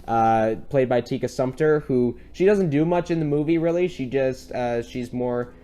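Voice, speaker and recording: A man co-hosts a laid-back review podcast. He speaks at a middling pitch and wanders through his points in a halting, rambling way, often doubling back to restart a thought. The delivery is conversational and unscripted. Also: warm tone. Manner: rambling, halting, circles back mid-sentence. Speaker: podcast host. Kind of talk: casual film review discussion.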